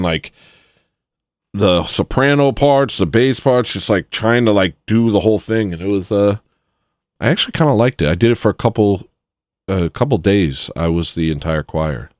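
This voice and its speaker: Rough-voiced man with a low voice. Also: darkish tone, no echo, studio quality. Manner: rambling, self-deprecating, with restarts. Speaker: streamer. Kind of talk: casual chat while making music on stream.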